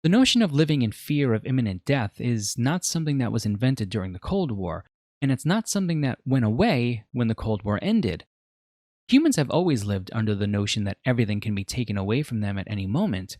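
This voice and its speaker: A man's voice: medium pitch, sing-song, a little fast, a little mellow, no echo, clean studio sound. A man narrating a scripted video essay as a media reviewer, telling the story in a dramatic, reflective way.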